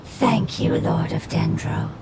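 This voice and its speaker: A woman playing a gentle, devoted elemental spirit in a video game, speaking with solemn, reverent formality. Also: low-pitched, slow pace, creaky, very noisy, exaggerated voice. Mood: grateful. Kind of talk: scripted video game voice acting. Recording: game music under the voice.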